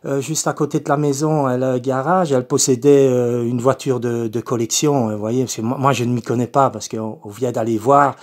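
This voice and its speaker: A man's voice: medium pitch, steady tone, a little fast, few pauses, darkish tone, close to an everyday voice. A man voicing a confused, polite eighty-year-old woman with a Belgian French accent, hesitant and repetitive, as in a prank phone call. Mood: rambling, earnest.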